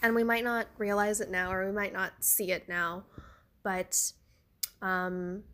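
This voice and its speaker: A young woman talks straight to her listeners in a loose, chatty podcast ramble. Her voice sounds stuffed up and nasal from congestion, and she fills gaps with "like" and "you know." Her pitch sits in the middle range for a woman. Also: fairly bright tone. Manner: chatty, lots of fillers. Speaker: podcast host; theater actor. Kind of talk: solo podcast chat.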